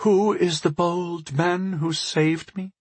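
A man's voice: high voice, flat delivery, takes it slowly, no room sound, voice pushed up. A man voicing a stern, mighty old elven lord in a fantasy audiobook, speaking in a formal, commanding way. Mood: weary, curious. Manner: lordly, formal, emphatic. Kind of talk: audiobook character voice.

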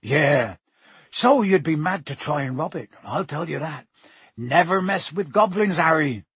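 A big, friendly giant of a gamekeeper, acted out by a male storybook reader, speaks with a very husky, gruff edge. His talk is folksy and colloquial, hearty and proud, and it is recorded close and dry. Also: on the high side, expressive, a little slow, a bit bright, dry recording, exaggerated voice. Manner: folksy, colloquial, dropped words.

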